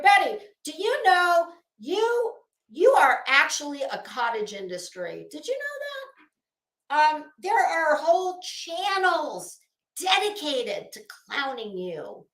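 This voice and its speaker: High-pitched, slow-paced woman in an echoey room. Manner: blunt, profane, opinionated. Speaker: livestream host. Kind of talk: casual livestream commentary. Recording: roomy, echoey sound.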